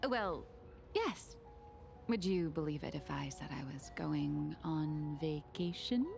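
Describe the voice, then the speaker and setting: Casting: a teasing, dramatic young novelist for an anime game, highish and leisurely, light music underneath. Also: female voice, takes it slowly, gravelly, a little mellow, full character voice. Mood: breezy teasing. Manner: playful, teasing, dramatically self-excusing. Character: breezy, theatrical, scheming young female novelist under escort.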